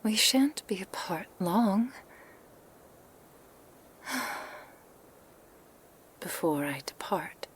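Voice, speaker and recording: A woman's voice: mid-range voice, expressive, drawn-out words, long pauses, a little hiss or hum. A woman playing a doting, possessive kitsune witch in a whispered ASMR roleplay, speaking tenderly in formal, archaic phrases. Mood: pained, tender.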